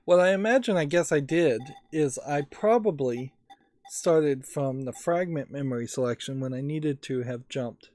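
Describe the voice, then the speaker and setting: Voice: mid-range voice, moderate pace, a little mellow. A let's play host giving casual game commentary, musing and thinking aloud while working through a puzzle game. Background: game music.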